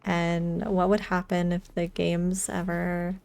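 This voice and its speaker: A woman streaming a game and chatting casually, unhurried and rambling as she thinks aloud. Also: on the low side, level tone, creaky, a little mellow. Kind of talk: casual livestream chat over gameplay.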